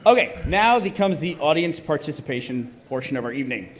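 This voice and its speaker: Higher-voiced man hosting a live event. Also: sing-song, fairly quick. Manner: playful, teasing. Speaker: host of a live table read. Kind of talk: live stage hosting with audience banter. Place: on stage before a live audience. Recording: live stage PA with clear background noise.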